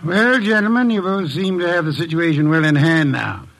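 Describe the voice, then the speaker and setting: A male actor playing a lofty, aloof detective in an old-time radio drama, pompous, formal and gravelly. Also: fairly high pitch, moderate pace, a bit bright. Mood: dismissive. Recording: hissy vintage radio recording.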